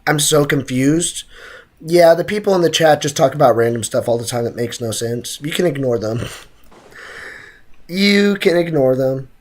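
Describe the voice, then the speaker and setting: A young man streaming a video game, giving excitable, joking commentary and answering his chat. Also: fairly high pitch, moderate pace. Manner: excitable, joking, trash-talking. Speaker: gaming streamer. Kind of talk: live game commentary, chatting with viewers.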